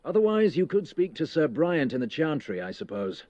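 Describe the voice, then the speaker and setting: A man's voice: a little high, big pitch swings, moderate pace, darkish tone. A man voicing a stern, weary armored knight in a fantasy video game, formal and cautioning, in slightly muffled audio. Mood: resigned, cautioning.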